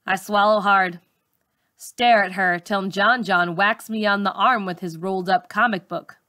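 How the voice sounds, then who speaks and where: A woman reading a first-person story aloud in an expressive, dramatic teacher's storytelling style. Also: mid-range voice, measured pace.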